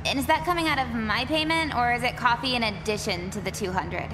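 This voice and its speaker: A woman acts out a jaded young woman in a visual novel game. Her voice sits fairly high, and she is blunt, sarcastic and fed up. There is clear ambient noise behind her. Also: fairly quick, lightly acted. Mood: skeptical. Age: young adult. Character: sardonic, jaded teenage-to-twenties mall worker girl.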